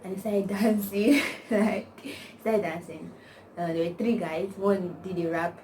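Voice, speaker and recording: A woman's voice: mid-range voice, steady tone, a little slow, warm tone. Young nigerian woman vlogging, says like a lot, slangy, kinda far off the mic, noisy. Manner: rambling storytelling, constant "like", slangy. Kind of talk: casual chat to camera. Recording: distant mic, noisy background.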